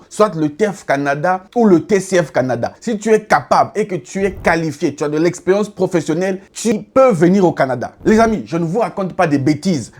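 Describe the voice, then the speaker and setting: A man's voice: a little high, a little mellow. A man hosting his own video channel, talking straight to camera in an upbeat, emphatic way and repeating phrases for emphasis. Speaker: YouTube channel host giving immigration advice. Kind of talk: enthusiastic direct-to-camera pep talk.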